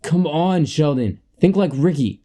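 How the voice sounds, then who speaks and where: Slow, cocky slob played by a young man. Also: fairly high pitch, animated, dark tone. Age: young adult. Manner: pompous, deliberate, boastful. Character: crude, cocky slob coaching obnoxious behavior. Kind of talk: comedic radio play acting.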